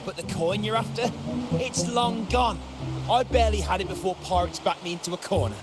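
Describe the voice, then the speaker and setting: An actor playing a caught petty thief in a video game, shifty, defiant and grumbling. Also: male voice, high-pitched, brisk, over music, lightly acted. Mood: sullen. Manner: grumbling, whiny, protesting.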